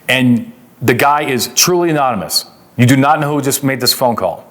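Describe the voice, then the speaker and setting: A man's voice: medium pitch, normal speed. A man with an American accent giving an explanatory legal lecture in an emphatic, conversational way, often posing questions and answering them as an instructor.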